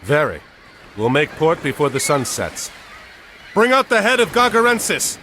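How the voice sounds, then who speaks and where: A man's voice: a little high, wildly swooping pitch, moderate pace, bright, forceful tone, a little hiss or hum, exaggerated voice. A man playing a resolute, noble, commanding war hero in a video game cutscene. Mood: calm, resolute.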